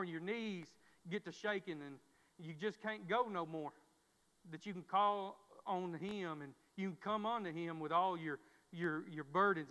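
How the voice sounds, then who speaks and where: Man, preaching, stammering restarts, high voice, long reverb, muffled sound. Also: sharp tone. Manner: repeats words, restarts, occasional stammer. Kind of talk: sermon.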